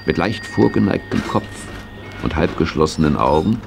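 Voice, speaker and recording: A man's voice: rumbling low, animated, moderate pace, creaky, music in the background, full character voice. A man narrating a children's radio play as a storyteller, in standard German. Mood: measured narration.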